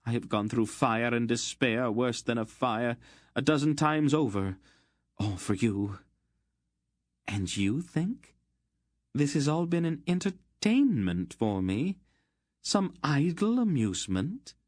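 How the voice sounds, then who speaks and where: A man voicing an ancient, stern sorceress woman who speaks in a cold, clipped, scathing way, raised well above his own low voice. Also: sing-song, measured pace, darkish tone, no echo, clean studio sound. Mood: anguished.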